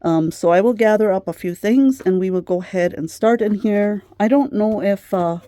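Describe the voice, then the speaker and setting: A middle-aged woman hosting a craft vlog who chats gently with plenty of fillers, her voice slightly worn as if she is losing it. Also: mid-range voice, normal speed, no echo. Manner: gentle, chatty, many fillers. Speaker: craft channel host. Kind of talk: casual craft vlog chat.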